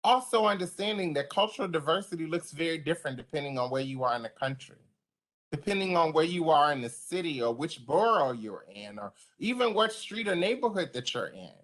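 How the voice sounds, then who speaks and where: A woman with a deep voice giving an online training to service providers, speaking passionately and conversationally. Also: expressive, fairly quick. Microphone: video call.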